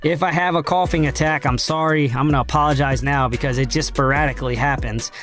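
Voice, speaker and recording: A man hosting a casual crypto trading livestream, slangy and hyped, his voice raspy and hoarse from a cold. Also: fairly high pitch, steady tone, fast, a bit bright, soft background music. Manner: slangy, hyped, addresses chat directly. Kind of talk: casual livestream market talk.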